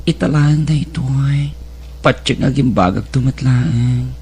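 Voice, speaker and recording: A woman voicing a gentle, weary older brother, speaking softly and haltingly. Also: a bass voice, a little hiss or hum. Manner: slow, soft, halting.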